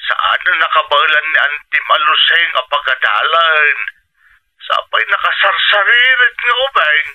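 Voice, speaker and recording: A high-voiced Ilocano father on telephone. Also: measured pace. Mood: pleading, coaxing. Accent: Filipino, Ilocano. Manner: apologetic, coaxing. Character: older pleading Filipino father asking his daughter favours. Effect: telephone filter. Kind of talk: Ilocano radio drama acting. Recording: dull, band-limited phone-call sound.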